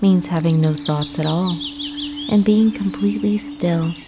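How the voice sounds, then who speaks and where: A woman narrating a guided meditation, giving gentle, soothing instructions with unhurried pauses. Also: lowish voice, background noise. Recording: clear nature ambience with birdsong. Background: birdsong and nature ambience.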